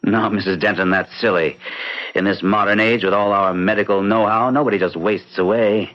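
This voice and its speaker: A man playing a rational, measured guest in an old-time radio mystery, questioning and narrating. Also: fairly low pitch, steady tone, natural voice. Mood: dismissive, rational. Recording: dull lo-fi radio recording.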